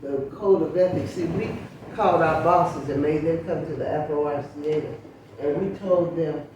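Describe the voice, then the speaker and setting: A woman's voice: deep voice, normal speed, background noise. Older woman telling stories, echoey distant mic. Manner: storytelling, lively recollection. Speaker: guest, author. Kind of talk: reminiscing interview talk.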